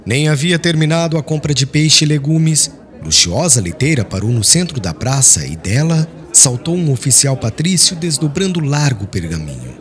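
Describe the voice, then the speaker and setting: A man giving a solemn, expressive, dramatic reading of a literary novel as an audiobook narrator. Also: on the high side, moderate pace.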